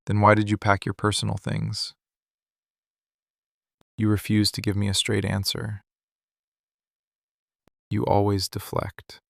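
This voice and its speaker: Guy doing a gravelly mafia boss for boyfriend asmr, possessive and wounded, clipped questions, really close dry sound. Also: fairly low pitch, dry recording. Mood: suspicious, probing. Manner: deliberate, clipped, interrogating delivery. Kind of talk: boyfriend ASMR roleplay acting.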